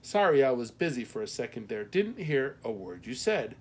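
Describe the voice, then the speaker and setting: A man's voice: fairly high pitch, expressive, unhurried, voice pushed up. A man voicing a wry, good-natured middle-aged husband in casual audiobook dialogue. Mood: casual, joking.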